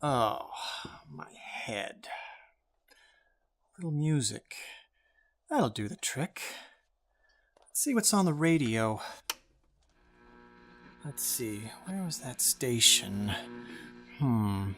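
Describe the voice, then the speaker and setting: Radio drama: male actor as tired, irritable middle-aged salesman, slow delivery, pitch swinging widely. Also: big pitch swings, fairly bright tone, full character voice. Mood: weary, muttering. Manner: weary, sighing, hesitant. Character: weary, grumpy married insurance salesman. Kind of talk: radio play acting.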